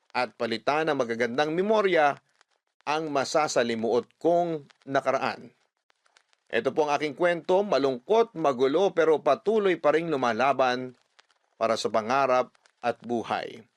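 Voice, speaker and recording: A Filipino man with a mid-pitched voice hosts a radio drama, reading letters as dramatic first-person narration. His delivery is earnest and sentimental. The sound is close and dry. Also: normal speed, no room sound.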